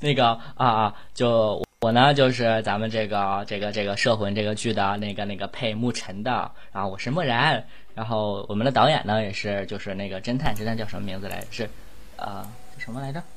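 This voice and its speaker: Low-voiced man hosting a casual chat. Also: steady tone, a little fast. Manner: playful, teasing, rambling. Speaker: host and lead voice actor of an audio drama.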